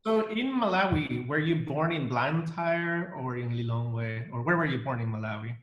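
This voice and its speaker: A man's voice: mid-range voice, expressive, normal speed, reverberant, highs cut off, a little hiss or hum. A man hosting an interview over a video call, speaking in a welcoming way with many fillers and restarts. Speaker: interview host. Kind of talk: casual interview.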